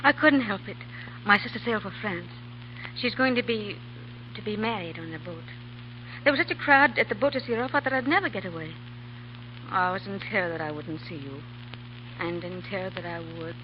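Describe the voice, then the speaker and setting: A woman's voice: mid-range voice, a little fast, pauses often, slight background noise, natural voice. An actress playing an earnest young woman in love in a 1938 radio drama, pleading and heartfelt. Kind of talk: 1930s radio drama acting. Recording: dull old radio broadcast recording.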